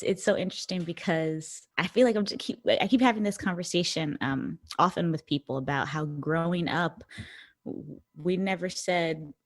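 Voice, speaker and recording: A woman's voice: fairly low pitch, gravelly. A woman from the Bronx, talking things through earnestly as a podcast guest. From New York City, the Bronx. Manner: reflective and earnest, thinks aloud with fillers. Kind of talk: casual conversation.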